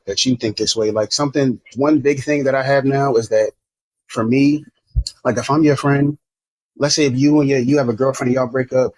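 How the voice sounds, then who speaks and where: A man's voice: medium pitch, slightly rough, poor audio. A man from Maryland talking frankly on a podcast, rambling with lots of restarts and swearing. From Maryland, USA. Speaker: podcast guest. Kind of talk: casual podcast chat.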